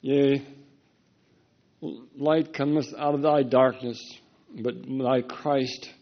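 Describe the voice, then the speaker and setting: Slow, deliberate man with archaic prophetic wording; dull recording. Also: fairly even intonation. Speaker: prophetic speaker. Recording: dull low-fidelity recording.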